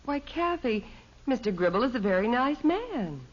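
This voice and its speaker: Reassuring sitcom mom, American, gently firm, highish lilting voice, 1950s radio acting, muffled old recording. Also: female voice, sing-song, normal speed. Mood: soothing. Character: patient, reassuring middle-class mother.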